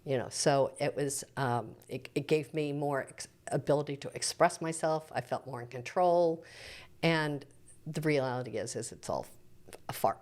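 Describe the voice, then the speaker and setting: A woman's voice: low-pitched, normal speed, darkish tone. A middle-aged woman speaking as a church preacher in an interview, explaining things in an earnest, reflective, teacherly way.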